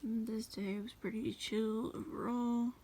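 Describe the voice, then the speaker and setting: A woman casually reading her journal aloud as a voice-over, in a rambling, halting way. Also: on the high side, flat delivery, dark tone, a little hiss or hum. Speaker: journal flip-through video creator.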